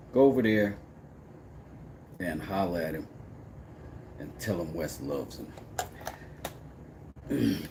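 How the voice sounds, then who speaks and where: A man with a very low voice, hosting a casual live stream, trash-talking his critics and rambling about woodworking. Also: a little hiss or hum. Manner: brash, trash-talking, then rambling and relaxed.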